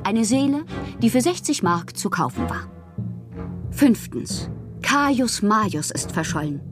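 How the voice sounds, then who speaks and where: A woman playing a moody, fanciful bohemian poet in a radio drama, reading her confessional letters aloud with expressive, theatrical, poetic phrasing. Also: medium pitch, a little slow, music in the background. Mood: wry, mischievous.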